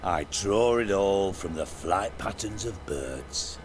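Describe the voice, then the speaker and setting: An actor playing a shrewd, sarcastic and menacing spymaster in a video game, measured and wry. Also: male voice, sits low, expressive, over music. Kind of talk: video game character acting.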